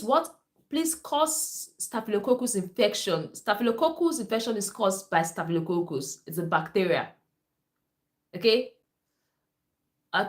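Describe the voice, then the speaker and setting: A Nigerian-accented woman whose first language is Esan, a nurse hosting a live stream, reading viewer comments and repeating herself as she talks straight to her audience. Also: medium pitch. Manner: repetitive, addresses viewers directly.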